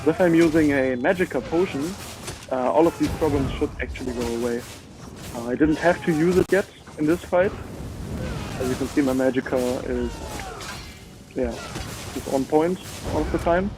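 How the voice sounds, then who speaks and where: A man's voice: medium pitch, poor audio. German-accented man narrating his gameplay. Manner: relaxed, chatty, many filler words. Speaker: gamer. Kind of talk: casual gameplay commentary.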